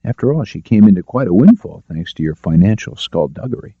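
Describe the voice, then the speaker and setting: A man's voice: fairly low pitch, big pitch swings, a little fast, husky, no echo, heavily acted. A man reads as a wry, efficient female secretary in a detective audiobook. The manner is businesslike, and the tape is dry and slightly muffled.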